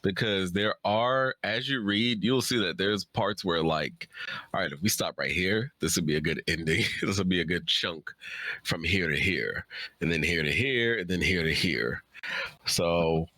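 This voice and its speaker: Guy with a deep voice but crisp bright edge, close dry sound, easygoing agreeable chat. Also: a little slow, no room sound. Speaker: interview guest, writer. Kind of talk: casual interview chat.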